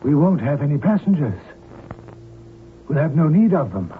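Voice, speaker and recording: An actor playing a fanatical, pompous cult preacher and hijacker in an old-time radio drama, speaking in pious scriptural phrases. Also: male voice, on the high side, very animated, moderate pace. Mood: commanding, smug. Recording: tinny, band-limited old radio recording, hissy.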